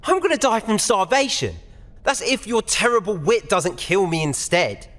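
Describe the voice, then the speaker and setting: A man's voice: sits high, big pitch swings, moderate pace, fairly bright tone. A man playing a sarcastic, exasperated young prisoner in an audio drama, recorded with long reverb. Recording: long hall-like cell reverb.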